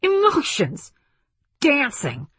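An impatient army general barking scorn in a tense briefing, rough-edged and snappish, from an audiobook as a woman narrator plays him. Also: on the low side, very animated, normal speed, fairly bright tone, no room sound, exaggerated voice. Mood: exasperated. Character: gruff, impatient male military general, scornful.

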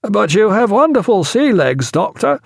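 A man's voice: high voice, big pitch swings, moderate pace, vocal fry, dry recording, clean studio sound, pitched above the performer's own voice, heavily acted. A man playing an eager, optimistic sailor in an audiobook, cheerful and coaxing. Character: eager, optimistic male sailor flattering the doctor.

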